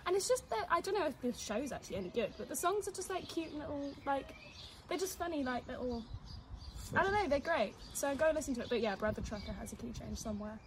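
A woman's voice: high-pitched, animated, fast talker, slight background noise. Sniffly chatty young woman. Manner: giggly, chatty, rambling with many fillers. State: sniffly and congested. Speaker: co-host. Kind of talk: casual chat.

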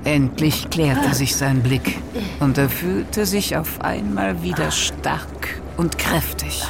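Slow, creaky male horror narrator. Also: fairly high pitch, fairly even intonation, over music. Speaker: narrator of horror audio drama. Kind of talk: scripted dramatic narration.

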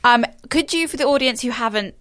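A woman's voice: a little high, a little fast, fairly bright tone. A woman hosting a podcast, introducing the episode and interviewing a guest. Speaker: podcast host.

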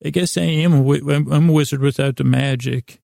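Super gravelly guy doing a rambly bedtime podcast, flat delivery, keeps tripping over his words and wandering off. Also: on the high side, dry recording, clean studio sound. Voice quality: very creaky, gravelly drone. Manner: meandering, halting, self-interrupting, with stumbles and tangents. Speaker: sleep podcast host. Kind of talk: rambling comic bedtime monologue.

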